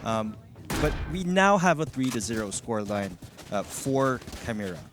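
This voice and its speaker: A man with a Filipino accent giving analytical live esports commentary as a shoutcaster, talking conversationally with a co-caster. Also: mid-range voice, big pitch swings, normal speed, background noise.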